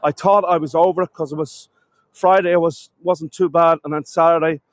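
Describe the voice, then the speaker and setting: A man's voice: on the high side, fairly even intonation, brisk, no room sound. A man with a cold, sniffling as he gives a chatty live-streamed walking tour outdoors, talking to viewers and calling them folks. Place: outdoors in a town.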